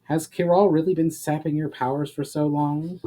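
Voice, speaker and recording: A man's voice: on the high side, fairly even intonation, moderate pace, noisy background, voice pushed up, close to an everyday voice. A man voicing an earnest, resolute male elf in a fantasy story reading, formal in his speech. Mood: puzzled.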